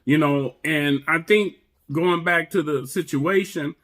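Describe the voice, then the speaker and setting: Bright-voiced married man preaching about marriage. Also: on the high side, unhurried. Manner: preachy, emphatic, repeats words when starting. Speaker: co-host. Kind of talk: casual chat about marriage.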